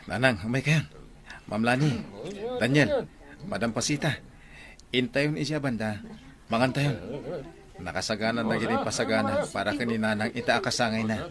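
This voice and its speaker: A man's voice: mid-range voice, normal speed, long pauses, crowd noise, lightly acted. A man with an Ilocano accent acting a devoted, earnest grown son in a radio drama. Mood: warm, eager.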